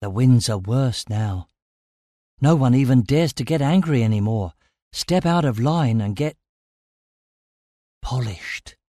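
A man voicing a fearful, secretive man in a story, giving halting warnings in a hushed, breathy voice. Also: mid-range voice, expressive, no echo, studio quality, lightly acted. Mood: grave. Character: frightened, secretive male castle dweller warning a stranger.

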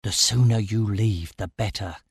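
A man voicing a fearful, secretive man in a story, giving halting warnings in a hushed, breathy voice. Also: on the low side, no echo, voice pushed down, exaggerated voice. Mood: urgent warning. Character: frightened, secretive male castle dweller warning a stranger.

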